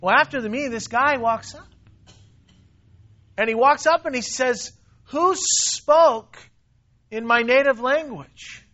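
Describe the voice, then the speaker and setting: A man preaching a sermon to a congregation, emphatic and repetitive, swinging between loud stresses and quiet asides. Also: very high voice, slow pace, long pauses, lo-fi sound. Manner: emphatic, repeats himself, rises and drops in volume. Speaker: pastor.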